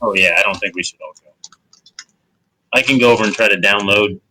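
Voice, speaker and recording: A man's voice: on the low side, brisk, sharp tone, a little hiss or hum, poor audio. A man chatting casually as a player discussing plans in a tabletop role-playing game.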